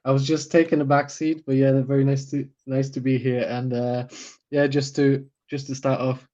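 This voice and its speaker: A man's voice: medium pitch, little pitch movement, normal speed, mellow. A man of Slavic origin raised in Northeast England, a podcast guest in laid-back casual chat who laughs easily. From Slavic country, raised in Northeast England. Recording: dull lo-fi remote audio.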